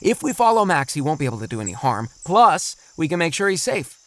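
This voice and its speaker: A man's voice: fairly high pitch, big pitch swings, fast talker, no echo. A man playing a thoughtful, kindly middle-aged father in a children's audio drama, explaining things reassuringly. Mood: planning.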